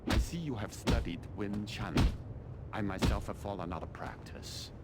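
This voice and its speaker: An actor playing a stern, calculating Chinese army colonel in a video game, speaking in a formal, deliberate, haughty manner. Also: male voice, fairly low pitch, very noisy, natural voice. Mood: measured, imperious. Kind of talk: scripted video game dialogue.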